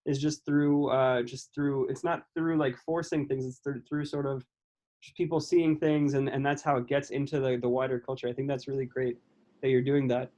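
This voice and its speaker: A man's voice: mid-range voice, little pitch movement, moderate pace, warm tone. A man interviewing casually over a video call, often repeating and rephrasing himself mid-sentence. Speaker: podcast interviewer. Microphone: video call microphone.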